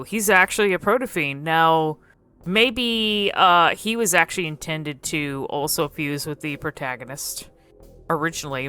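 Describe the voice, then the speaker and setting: A woman with a low but bright, forward voice commentates casually on a game. She muses as she goes, halting and thinking aloud, with faint music behind her. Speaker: gaming video creator.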